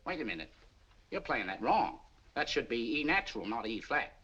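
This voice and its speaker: Cockney pub musician, streetwise haggler, bright lively voice. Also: male voice, medium pitch, slightly rough, dull audio, soft background music, exaggerated voice. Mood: knowing. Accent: London Cockney. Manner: brash, streetwise, quick to bargain. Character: cheeky Cockney pub musician, middle-aged man. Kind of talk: 1940s film acting.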